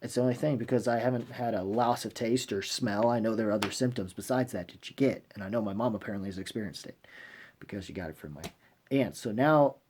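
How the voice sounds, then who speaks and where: Halting, rambling man on a noisy recording. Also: fairly low pitch, a little mellow. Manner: halting, repeats and trails off mid-thought. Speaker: vlogger hosting a fan channel. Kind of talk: casual, rambling vlog commentary. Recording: clearly noisy recording with clicks.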